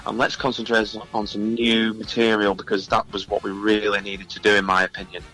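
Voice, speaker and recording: A man's voice: fairly low pitch, monotone, fairly quick, bright, forceful tone, faint music behind, rough recording. A man being interviewed as a musician, chatting casually and good-humouredly in a rambling way that circles back over phrases.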